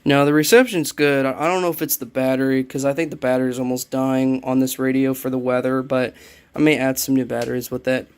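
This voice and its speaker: A man giving a casual, unscripted show-and-tell as a vlogger, talking in a halting, rambling way and often repeating himself into a handheld phone. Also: medium pitch, clear, resonant tone. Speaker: vlogger showing a personal collection.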